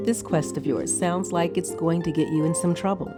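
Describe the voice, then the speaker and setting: A woman's voice: on the low side, fairly even intonation, moderate pace, over music, natural voice. An actress playing a poised, wry noblewoman of a wizard's tower in a fantasy audio drama. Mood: wry, amused. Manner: dry, amused, composed.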